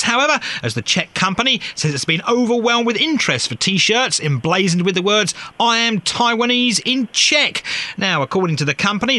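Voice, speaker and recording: A man presenting a radio news discussion in a studio. His voice sits high and bright, with a lively, swinging pitch. He moves through the topics in a brisk, upbeat presenter's manner. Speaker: radio news show host.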